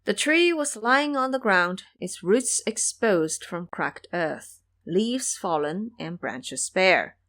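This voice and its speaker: Expressive woman storyteller with lively pitch. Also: slow, few pauses. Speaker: narrator. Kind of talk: scripted audio drama narration.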